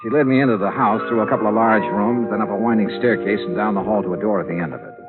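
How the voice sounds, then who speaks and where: An actor in a 1950s radio noir playing a wry insurance investigator, narrating and questioning in a dry, wisecracking way. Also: male voice, lowish voice, quick pace, close to an everyday voice. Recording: tinny old radio broadcast with music.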